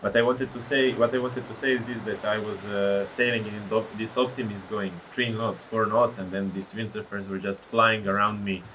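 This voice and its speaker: Croatian man, low voice, storytelling. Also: moderate pace, few pauses, slight background noise, rough recording. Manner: animated storytelling, searches for words. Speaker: guest, pro windsurfer. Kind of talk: casual podcast interview.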